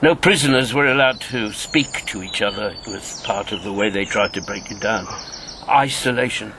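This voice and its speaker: A man's voice: on the low side, noisy background, close to an everyday voice. An actor in a radio drama playing an embittered, grieving old man, once a wartime secret agent, speaking with weighty deliberation. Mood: haunted, sombre. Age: 70s. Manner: slow, weighty reminiscing with bitter outbursts.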